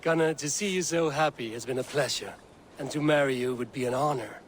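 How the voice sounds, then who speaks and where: A man playing a steady, honorable Viking clan leader in a video game, speaking in a measured, formal way. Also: medium pitch, slight background noise, natural voice. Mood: warm, honored. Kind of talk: dramatic game dialogue acting.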